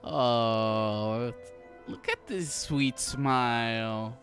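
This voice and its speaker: Casual playthrough commentator, unhurried and middle-pitched, with game music behind. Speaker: game player.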